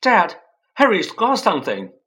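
A man voicing a spoiled, demanding boy who talks loudly and whines. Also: high-pitched, huge pitch swings, measured pace, pitched above the performer's own voice, exaggerated voice. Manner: loud, whining, demanding.

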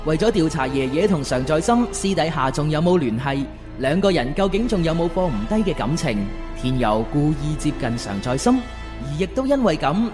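A man giving a dramatic scripted recap as narrator of a Cantonese radio drama. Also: on the high side.

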